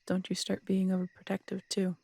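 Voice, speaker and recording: A woman's voice: mid-range voice, monotone, fairly quick, gravelly, dark tone, no room sound, studio quality. A woman playing a feisty, teasing, proud police detective in an intimate roleplay audio drama. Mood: reassuring, wry. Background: crickets at night.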